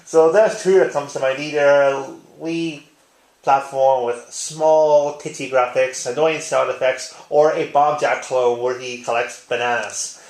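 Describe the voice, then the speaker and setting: A man talks casually to his viewers in an echoey living room. His pitch sits in the middle range, and his manner is informal and blunt, like someone recording a home vlog. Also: steady tone, measured pace, a little mellow. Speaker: video blogger. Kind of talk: casual tag-response vlog chat.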